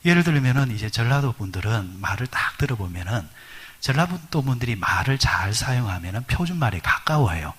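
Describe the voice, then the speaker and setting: A man's voice: mid-range voice, animated, brisk, fairly bright tone, slightly rough. A middle-aged man preaching a sermon at a midweek prayer service. He talks conversationally, asks the congregation questions, and uses many fillers. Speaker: pastor.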